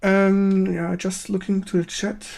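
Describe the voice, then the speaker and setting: Creaky-voiced German man hosting a stream. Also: high voice, little pitch movement, darkish tone. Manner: halting, repetitive, searching for English words. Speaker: stream host. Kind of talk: casual interview on a game stream.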